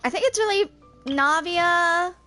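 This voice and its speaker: A woman's voice: very high-pitched, measured pace, bright, forceful tone, soft background music. A woman in her twenties chatting casually to viewers as she streams a game, rambling and thinking aloud. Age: 20s. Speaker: streamer playing a video game.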